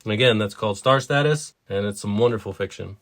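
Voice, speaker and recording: A man's voice: on the low side, fast talker, fairly bright tone. A man co-hosting a story podcast, chatting casually and giving introductions. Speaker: podcast co-host.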